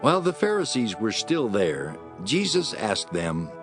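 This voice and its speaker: A man's voice: fairly low pitch, huge pitch swings, unhurried, music in the background. A man reading scripted narration for an audio Bible drama as its storyteller.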